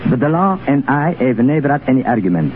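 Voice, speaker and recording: A man's voice: mid-range voice, big pitch swings, fast talker. A man speaking as a guest and former soldier in a semi-scripted 1930s radio interview. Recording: tinny 1930s radio broadcast, faint noise.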